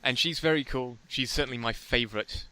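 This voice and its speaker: A man's voice: medium pitch, brisk, bright, forceful tone, clear, resonant tone, low-quality recording. A British man co-hosting a podcast, laid-back and agreeable, often answering in short replies. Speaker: podcast co-host. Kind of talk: casual anime discussion.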